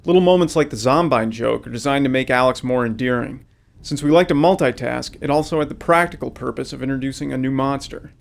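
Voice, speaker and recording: A man's voice: mid-range voice, fast, vocal fry. A man who makes games, delivering scripted commentary about design decisions. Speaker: game developer.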